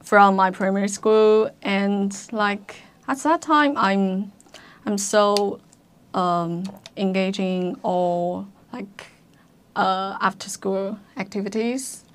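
A young adult woman whose first language is Chinese, a podcast guest speaking English in a halting way, repeating words as she searches for them. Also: medium pitch, a little hiss or hum. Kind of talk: casual interview chat.

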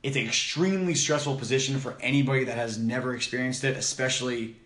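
A man co-hosting a casual podcast chat, outgoing and loud, teasing his friend as he talks. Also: medium pitch, steady tone, fast talker. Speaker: podcast co-host. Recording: roomy echo.

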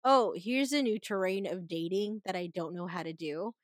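A woman co-hosting a casual movie review chat, animated, laughing often and restarting her phrases. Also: fairly low pitch, normal speed, no echo, studio quality. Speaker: podcast co-host.

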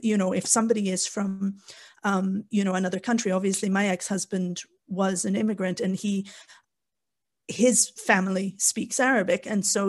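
A woman's voice: medium pitch, gravelly. A frank woman talking in a panel discussion, rambling through restarts and asides. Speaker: panel guest. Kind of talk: candid conversational discussion.

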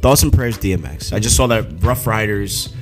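A man's voice: on the low side, lively intonation, moderate pace, soft background music. A man in his forties co-hosting a casual podcast, rambling loosely with lots of "like" and swearing. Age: 40s. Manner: rambling, loose and profane, heavy on "like". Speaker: podcast co-host.

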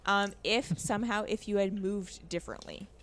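A woman's voice: medium pitch, moderate pace, fairly bright tone, a little hiss or hum. A woman co-hosting a podcast, casually discussing a video game in an informal, hesitant way as she thinks aloud. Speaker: podcast co-host.

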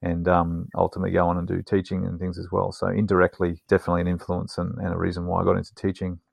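A man's voice: low-pitched, completely flat delivery, fast talker, vocal fry, no echo. A man speaking reflectively as a guest in a casual interview, with some hesitation. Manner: hesitant, reflective with fillers and restarts.

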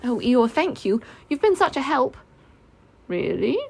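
Woman voicing a slow, gloomy storybook donkey. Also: high voice, sing-song, creaky, darkish tone, slightly rough, pitched above the performer's own voice, exaggerated voice. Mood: gloomy, dry. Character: gloomy, grudging, self-deprecating old donkey, male.

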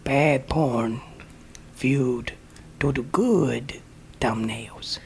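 Male performer as an old ghost housekeeper woman: gravelly, halting broken English, delivers deadpan wisdom. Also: medium pitch, full character voice. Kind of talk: comedic character bit on a podcast.

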